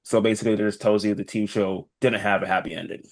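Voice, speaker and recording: A man's voice: lowish voice, fairly even intonation, fast, gravelly, no echo. A man chatting casually as a podcast co-host, emphatic and repeating phrases for stress.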